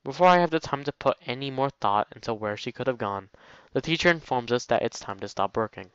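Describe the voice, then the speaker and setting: A man's voice: medium pitch, lively intonation, fairly quick, smooth, dry recording, muffled recording. A man reading a visual novel aloud casually, dropping in jokey commentary as a let's play host. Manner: jokey asides while reading.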